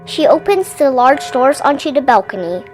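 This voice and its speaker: High-voiced woman reading a children's storybook. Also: expressive, moderate pace, soft background music. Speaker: children's picture book reader. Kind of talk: expressive read-aloud storybook narration.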